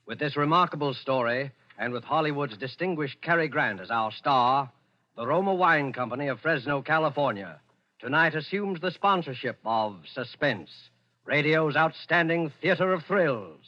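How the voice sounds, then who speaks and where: A man's voice: fairly high pitch, lively intonation, moderate pace. A man making dramatic, scripted announcements in the style of 1940s radio. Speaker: program announcer. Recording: muffled old radio, music behind.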